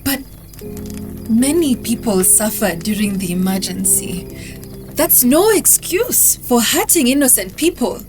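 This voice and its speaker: A woman's voice: a little high, sing-song, measured pace, music in the background. A young woman playing a determined activist who speaks firmly and earnestly, also narrating her own journal. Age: young adult. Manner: firm, earnest.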